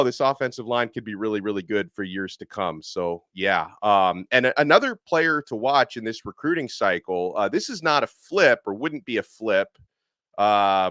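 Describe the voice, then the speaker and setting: A man hosting a casual sports podcast, animated and excitable, his voice a little hoarse from yelling. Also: on the low side, no echo. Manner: animated, excitable, with frequent fillers. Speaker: podcast host.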